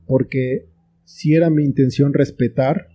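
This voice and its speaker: A man's voice: mid-range voice, fairly even intonation, unhurried, warm tone, faint music behind. A man with a Mexican accent giving reflective, explanatory answers as an interview guest in a conversational style. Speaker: interview guest, screenwriter and author.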